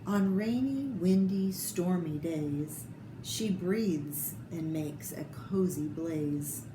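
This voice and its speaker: A woman's voice: lowish voice, drawn-out words. An American woman reading picture books aloud to children, expressively and unhurriedly, with little asides to her listeners. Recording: echoey room with clear background noise.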